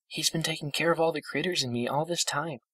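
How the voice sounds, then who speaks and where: A man voicing a frail, gentle old female pegasus in a storybook reading, with a soft, whispery delivery. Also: a little high, quick pace, no echo, pitched above the performer's own voice. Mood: tender. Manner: tender, comforting.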